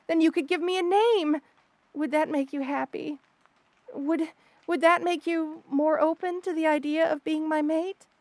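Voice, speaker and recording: A woman's voice: sits high, no room sound, close to an everyday voice. A woman voicing an obsessive, jealous lamia monster girl in an intimate audio roleplay, flustered, boastful and gushing, with stammers. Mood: devoted, hopeful.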